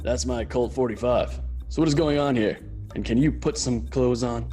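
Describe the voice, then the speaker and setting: A man playing a deadpan, gullible detective with a clipped manner in a comedy noir radio play. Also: mid-range voice, moderate pace, natural voice. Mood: hurt, baffled. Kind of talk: radio drama comedy acting. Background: music.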